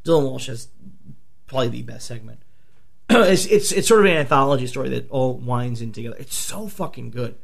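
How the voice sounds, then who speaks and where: A man with a gravelly, croaky voice, worn hoarse from a flu, holds forth on films in an excitable, profane rush. He stumbles through restarts and fillers and jumps back in with energy. His pitch sits in an ordinary middle range. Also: a little fast. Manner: excitable, swears often, many restarts. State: a few bourbons in. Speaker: podcast host. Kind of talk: casual movie talk.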